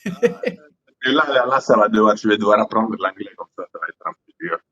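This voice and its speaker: A man from Italy speaking French with an Italian accent, halting and repeating words as he searches for them in a casual live chat. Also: on the low side, expressive, a bit bright, highs cut off. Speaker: aquarium product company founder.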